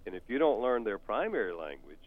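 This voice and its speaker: An older Southern man with a low voice talks in a lively, folksy way, his pitch rising and falling as he tells stories. The sound is tinny, like a phone line. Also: a little fast. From North Carolina. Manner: folksy, animated and storytelling. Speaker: author and counselor guest. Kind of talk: explaining on a talk show.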